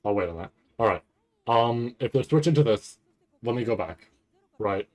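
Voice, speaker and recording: A man giving casual, off-the-cuff commentary on a video, thinking aloud in a halting way and often repeating himself. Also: medium pitch, lively intonation, darkish tone. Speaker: anime reaction streamer.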